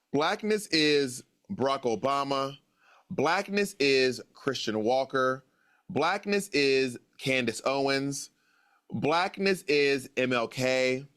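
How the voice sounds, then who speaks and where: A man's voice: medium pitch, a bit bright. An American man in his late thirties speaks as an interview guest, earnest and musing. He circles back on his phrases to stress them, in an ordinary mid-range voice. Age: 30s. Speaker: author guest. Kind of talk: reflective interview answer.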